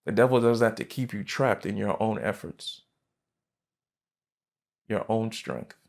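A man in his thirties, a pastor preaching a sermon from a church stage, fervent and emphatic, jumping from loud bursts to quiet asides. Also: on the low side, measured pace, creaky. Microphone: headset mic.

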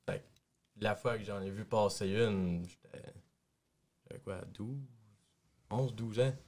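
Young male panelist, lowish voice, Quebec French accent, blunt street-level casual delivery. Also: takes it slowly, darkish tone. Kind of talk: casual chat. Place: small radio studio.

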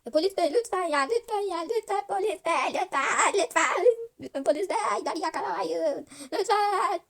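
A woman's voice: extremely high pitch, animated, normal speed. A shifty male doctor in a toy roleplay story, put on by a woman. He pleads and wheedles, offering excuses in an evasive manner. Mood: begging.

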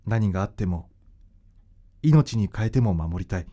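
A man's voice: on the low side, expressive, moderate pace, mellow. A man playing an aloof but tender young boyfriend in a radio drama, blunt and a little bashful. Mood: reflective. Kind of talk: Japanese radio drama acting and narration.

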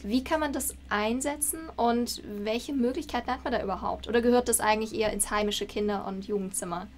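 Higher-voiced woman interviewing over noise. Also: brisk, a little mellow. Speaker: interviewer, university lecturer. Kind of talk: conversational interviewing. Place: room with bookshelves. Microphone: video call. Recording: clear background noise, hum.

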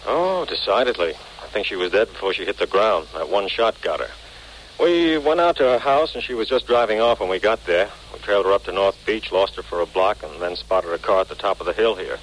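An actor playing a steady, affable city police detective, clipped and plain-spoken, in a 1940s radio drama. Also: male voice, on the low side, fairly quick, lightly acted, low-quality recording. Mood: matter-of-fact. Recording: hissy old 1940s radio recording.

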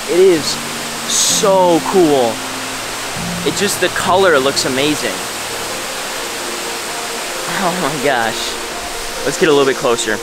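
A man's voice: a little high, noisy background. Upbeat young man vlogging outdoors. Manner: upbeat and enthusiastic, talking while walking. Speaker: travel vlogger. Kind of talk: casual travel vlog to camera. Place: outdoors on roads and jungle paths. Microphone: handheld selfie camera at arm's length.

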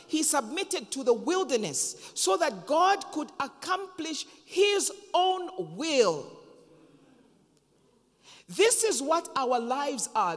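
Zambian woman pastor in her fifties, fairly high voice, slow and dramatic delivery. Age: 50s. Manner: emphatic, slow and dramatic with pauses. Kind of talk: sermon. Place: large church hall, on stage at a pulpit. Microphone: handheld microphone. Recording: long reverb of a church hall.

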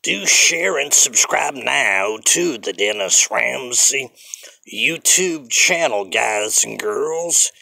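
Slow gravelly older man vlogging outdoors. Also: mid-range voice, sharp tone. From Canada. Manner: slow, drawling, repetitive self-promotion. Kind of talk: rambling selfie vlog. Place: outdoors on a sunny hillside street. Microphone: phone at arm's length.

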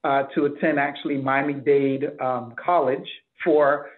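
A man's voice: mid-range voice, fairly even intonation, a little slow. A middle-aged man from the Bahamas answering interview questions as a hospital executive over a video call. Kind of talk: interview answers about career. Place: office, indoors. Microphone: computer mic on a video call. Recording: thin, phone-like video call audio.

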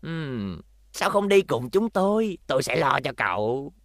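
Sly wheedling gang boss. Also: male voice, fairly high pitch, big pitch swings, a little slow, dry recording, studio quality. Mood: scheming. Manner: wheedling, oily, chuckling. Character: scheming middle-aged male gang boss, sly. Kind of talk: Vietnamese TV cartoon dubbing.

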